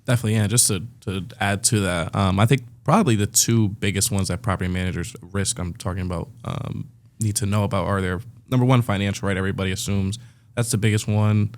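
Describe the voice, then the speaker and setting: A man's voice: lowish voice, steady tone, quick pace. A man as a podcast guest in a studio, talking in an upbeat, loose way. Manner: upbeat, loose, laughs easily. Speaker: partnership manager, guest. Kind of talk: podcast conversation. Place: recording studio.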